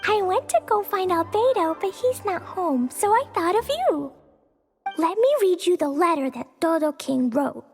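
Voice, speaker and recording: A woman voicing a small, cute, earnest and excitable little girl in a video game, with a childlike, sometimes halting way of talking. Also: very high-pitched, faint music behind. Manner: childlike, refers to self in third person, halting over hard words. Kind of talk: video game character voice acting.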